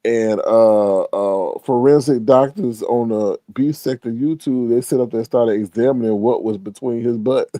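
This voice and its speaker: Congested man gossiping, dark dry voice. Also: a little slow, no room sound. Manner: animated, laughing, loud pitch jumps on reactions; slangy, says "child" often. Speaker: commentary channel host. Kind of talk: casual gossip commentary stream.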